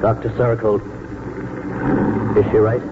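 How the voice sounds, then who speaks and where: A man's voice: lowish voice, little pitch movement, a little slow, very noisy, natural voice. An actor playing an insecure, fragile man in love, hesitant and emotional, in 1940s radio drama style. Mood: anxious, halting. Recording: tinny 1949 radio broadcast, loud music.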